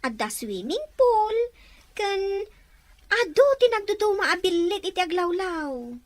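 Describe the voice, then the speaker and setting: A woman's voice: extremely high pitch, sing-song, measured pace, dry recording, exaggerated voice. A woman playing an eager, innocent young child in an Ilocano radio drama. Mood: wide-eyed, curious.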